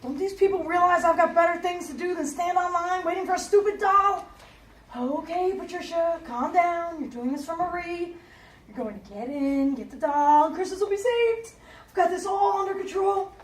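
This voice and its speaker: A woman's voice: very high-pitched, moderate pace, distant mic. A woman acting as a frazzled, short-tempered single mother, talking bluntly and sarcastically with plenty of swearing. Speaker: single mother waiting in a store line. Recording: echoey room, voice off-mic.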